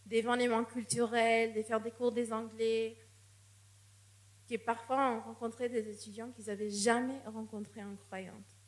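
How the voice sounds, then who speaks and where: A woman preaches in a church, and her voice sits a little high. She speaks in an earnest, personal tone and often restarts a phrase before going on. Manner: earnest, testimonial, with frequent restarts. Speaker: guest preacher. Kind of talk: sermon.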